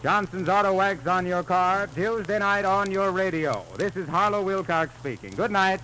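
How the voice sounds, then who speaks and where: An American man delivers vintage radio commercial copy in a high voice. His announcing is polished and emphatic in the 1930s manner. Clear noise runs through the old broadcast recording. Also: moderate pace.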